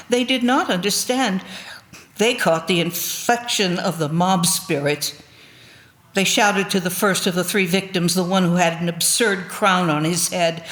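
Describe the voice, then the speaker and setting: Female reader, medium pitch, solemn scripted narration for a church service. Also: fairly bright tone.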